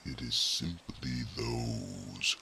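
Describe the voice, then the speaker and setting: A man voicing a wise, solemn male companion to a young dragon, speaking in a slow, grave whisper in an ASMR fantasy roleplay. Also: a bass voice, creaky, bright, forceful tone, slight background noise, exaggerated voice.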